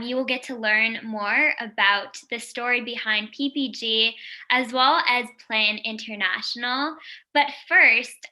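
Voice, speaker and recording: A woman's voice: on the high side, sharp tone, poor audio. Upbeat young woman hosting a live stream. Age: young adult. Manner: upbeat, welcoming, speaking to viewers. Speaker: host, founder of youth nonprofit.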